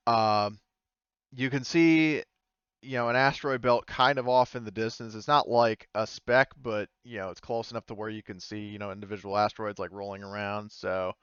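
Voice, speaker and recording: A man's voice: medium pitch, a bit bright, smooth, dry recording, lo-fi sound. A man acting as game master, narrating casually and informally with some swearing.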